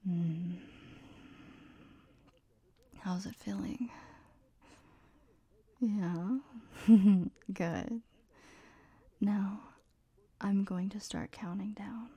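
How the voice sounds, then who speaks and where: Slow, breathy whispering woman. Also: medium pitch, no room sound. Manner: mostly whispered; teasing and commanding, with soft laughs. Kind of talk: whispered audio roleplay. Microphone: close mic.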